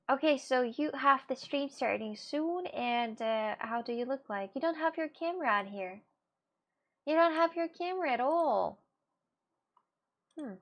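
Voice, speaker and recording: A woman's voice: sits high, fairly bright tone. A woman from Russia in her twenties, chatting casually and animatedly on a live stream, often repeating herself.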